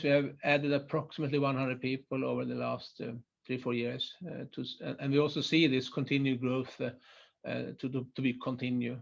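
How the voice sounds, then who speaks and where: A man's voice: mid-range voice, creaky. A man with a Swedish accent presenting his company's site in a webinar, hesitant, with many fillers and restarts. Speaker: site manager presenting a chemical plant. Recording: muffled lo-fi webinar audio.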